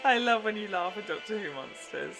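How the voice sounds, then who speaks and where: A young man chats casually while watching a show. His voice is lively and widely varied, with music clearly playing behind him. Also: high voice, brisk. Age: young adult. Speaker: reaction video host. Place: small indoor room at home. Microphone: large microphone in front.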